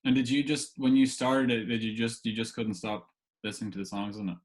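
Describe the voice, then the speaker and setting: A man hosting a casual interview, asking questions in a hesitant, halting way. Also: lowish voice, steady tone. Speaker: podcast host, interviewer.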